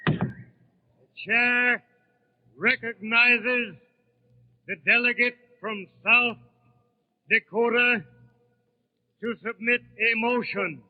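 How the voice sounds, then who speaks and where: Slow, very high-pitched chairman, tinny radio. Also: steady tone. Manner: deliberate, declamatory, broken into short phrases. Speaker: convention chairman. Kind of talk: formal parliamentary announcement from the podium. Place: large convention hall. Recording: thin, tinny 1930s radio broadcast recording.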